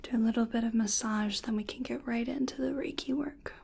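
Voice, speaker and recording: A woman speaking softly in a breathy whisper, gentle and unhurried, role-playing a reiki practitioner in an ASMR personal attention style. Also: fairly high pitch, steady tone. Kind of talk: soft-spoken ASMR personal attention roleplay.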